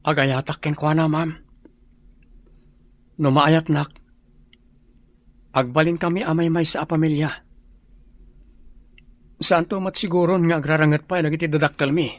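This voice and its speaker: Earnest male lead for radio drama, acted by a man, slightly high voice. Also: fairly even intonation, a little slow, long pauses, lightly acted.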